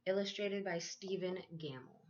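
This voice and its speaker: A dull, slightly lo-fi recording carries a woman's voice on the lower side. She reads a children's picture book aloud like a schoolteacher, with measured storytelling phrasing and drawn-out emphasis on key phrases. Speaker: elementary school teacher reading aloud.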